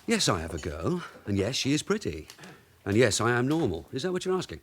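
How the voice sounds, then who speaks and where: A man acting in a radio drama as a shrewd veteran police sergeant with a London accent and a dry, brisk manner. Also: mid-range voice, sing-song, slight background noise, lightly acted. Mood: wry, bantering.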